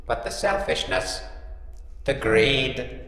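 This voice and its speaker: A man's voice: medium pitch, level tone, slow, a bit bright, echoey room, long reverb tail. A man playing a grandiose, villainous robot princess who makes cold, portentous pronouncements in a comic audio drama. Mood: dejected.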